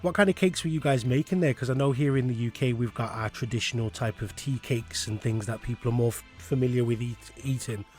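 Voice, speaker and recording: A man's voice: medium pitch, fairly quick, few pauses, a little mellow, soft background music. A man asking questions casually as a radio interviewer.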